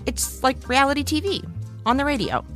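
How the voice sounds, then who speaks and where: A woman's voice: high voice, normal speed, a bit bright, faint music behind. A woman narrating a podcast trailer in a wry promotional style.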